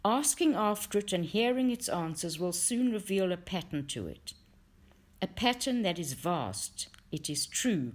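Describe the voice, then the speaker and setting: A woman reading a book aloud in an audiobook style, with measured, deliberate phrasing. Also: lowish voice. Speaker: reader for a book study group.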